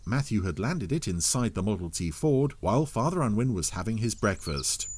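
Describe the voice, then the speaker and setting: A man's voice: lowish voice, very animated, normal speed, darkish tone, slight background noise. A man narrating a scripted audio story in the role of storyteller. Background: music.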